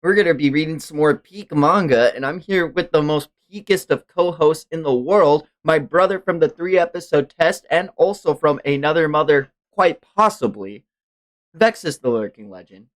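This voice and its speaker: A man's voice: fairly high pitch, lively intonation, moderate pace. A man hosting a casual livestream chat about manga, talking in hyperbolic fan slang and swearing often. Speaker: stream host.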